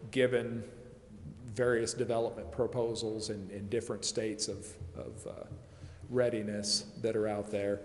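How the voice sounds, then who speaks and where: A man with a fairly low voice gives an explanatory presentation at a public meeting, talking through hypotheticals and qualifying as he goes. The sound is echoey with long reverb and faint noise behind him. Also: a little mellow. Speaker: consultant advising a city committee. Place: city council chamber.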